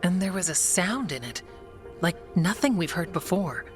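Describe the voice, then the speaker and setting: An actress playing a practical, wryly calm warrior woman in a video game, speaking in a measured, matter-of-fact way. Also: fairly low pitch, close to an everyday voice. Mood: reflective, steady. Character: steady, practical Grey Warden woman, calm and wryly dry. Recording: music behind the voice.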